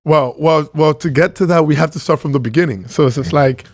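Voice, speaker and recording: Male podcast guest, from Venezuela, animated, slightly high-pitched, dry studio sound. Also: a little fast, no echo. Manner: animated, acts out his own past reactions. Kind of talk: casual storytelling.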